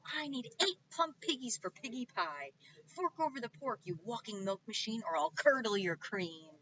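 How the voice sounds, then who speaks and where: A greedy, bossy witch from a children's storybook, put on by a woman reading aloud, with a shrill, screeching voice pushed well above a normal speaking pitch. She barks demands and tosses insults in a bright, sharp tone, loud and pushy. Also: big pitch swings, normal speed, muffled recording. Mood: furious.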